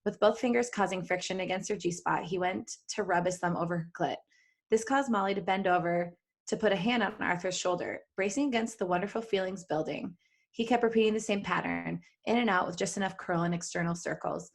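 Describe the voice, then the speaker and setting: A woman's voice: lowish voice, brisk, gravelly. A woman podcast host reads fan fiction aloud. Her delivery is playful and giggly, and she drops out of the story into chatty asides.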